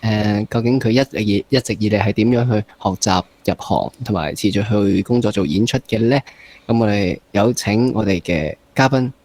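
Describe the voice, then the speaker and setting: Low-pitched Hong Kong man hosting casually. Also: normal speed, a little mellow, a little hiss or hum. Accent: Hong Kong Cantonese. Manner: joking, teasing banter. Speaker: interview show host. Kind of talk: casual interview chat.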